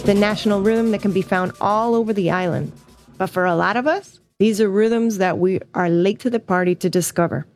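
A woman's voice: medium pitch, a little fast, a little mellow, soft background music. A woman from the United States narrating with reflective, earnest storytelling as host. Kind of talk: scripted narration.

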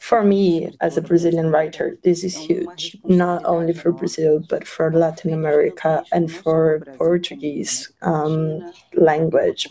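Slow, flat, gravelly woman speaker. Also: low voice, rough recording.

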